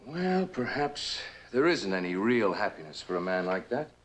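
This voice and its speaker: An actor playing a coldly calculating, condescending male manager in a measured, controlled suspense drama style. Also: on the low side, big pitch swings. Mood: coolly calculating. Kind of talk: old radio and television suspense drama acting.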